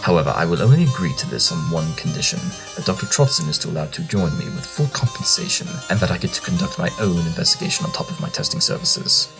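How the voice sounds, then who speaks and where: A man's voice: sits low, big pitch swings, fast talker, hardly any pauses, low-quality recording. A man playing a brilliant, eccentric pony detective who talks in a haughty, wordy, theatrical way over music in an audio drama. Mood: eager. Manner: theatrical, verbose, self-assured. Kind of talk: scripted audio drama acting.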